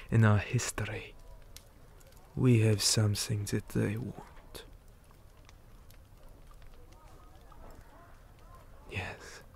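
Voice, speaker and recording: A weary, principled orc commander, acted out by a man for an ASMR roleplay. He speaks very slowly in a low, dark voice, grave and measured, often sinking into a breathy whisper. There is faint noise behind him. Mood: grave, proud.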